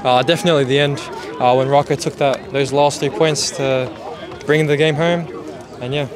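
A teenage rugby player answering outdoors into a handheld mic, composed and articulate. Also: male voice, mid-range voice, fairly even intonation, moderate pace. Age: teens. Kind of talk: post-game interview. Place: outdoor sports field. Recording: crowd noise outdoors.

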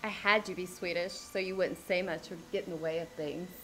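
An actress playing a scheming mother calmly revealing her plan in a campy comedy. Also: lowish voice, a little fast, echoey room, slight background noise, lightly acted, rough recording. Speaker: actor.

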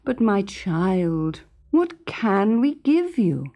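Woman voicing a slow, fretful, doting king. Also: medium pitch, big pitch swings, mellow, heavily acted. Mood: pleading. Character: poor anxious old king, doting father.

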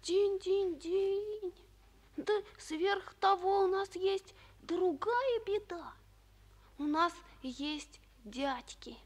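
Childlike bell boy, plaintive sing-song, unhurried and high, vintage radio drama. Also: full character voice. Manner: drawn-out sing-song with chiming syllables. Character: small plaintive bell-boy from a music box. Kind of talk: 1940s radio play acting. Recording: old 1940s radio recording.

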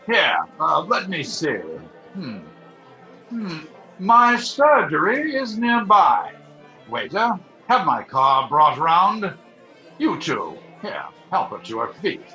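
An older man playing a pompous, scheming Edwardian doctor in a radio play, with theatrical, deliberate delivery. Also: high voice, very animated, bright, forceful tone, highs cut off, soft background music, heavily acted. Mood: brisk, commanding. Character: pompous, scheming, chauvinist male doctor villain. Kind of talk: period radio play acting. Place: at home on a video call. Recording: roomy home video-call sound.

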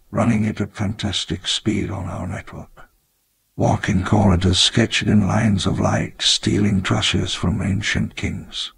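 An actor playing a wistful elderly park founder, narrating his memoir in measured, reflective storytelling. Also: lowish voice, creaky, slightly rough, heavily acted. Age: older. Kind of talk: scripted memoir narration in a game.

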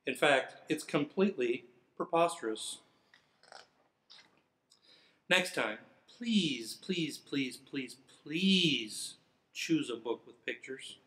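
A man's voice: medium pitch, lively intonation, slow, sharp tone. A middle-aged man reading a children's book aloud in a theatrical, playfully exaggerated manner. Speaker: firefighter. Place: indoors in front of a fire truck. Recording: roomy, echoing sound.

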